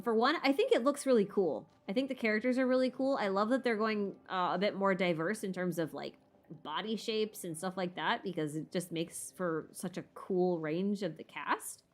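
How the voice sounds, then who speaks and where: A woman with a medium-pitched voice chats casually on a livestream as she plays a game. She is playful and self-deprecating, rambling along and drifting into little muttered asides. Music plays behind her. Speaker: streamer hosting a live Q&A. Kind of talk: casual rambling livestream chat while gaming.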